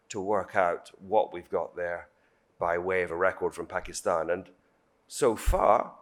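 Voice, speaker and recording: Deep-voiced British man speaking formally. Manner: measured, diplomatic, emphatic repetition. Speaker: government official on a panel. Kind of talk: formal panel remarks.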